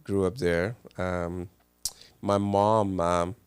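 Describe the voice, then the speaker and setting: A middle-aged man from Pakistan, a cardiologist, telling his story in an interview with many pauses and ums. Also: sits low, level tone, smooth, no room sound. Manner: hesitant, many ums and uhs. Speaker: cardiologist guest. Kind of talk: relaxed interview answers.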